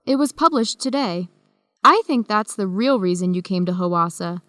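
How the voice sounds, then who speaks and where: A woman's voice: medium pitch, lively intonation, moderate pace, fairly bright tone, no echo, studio quality, lightly acted. An actress playing a firm, principled young woman with a direct manner in an educational radio drama. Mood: firm, indignant. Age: young adult.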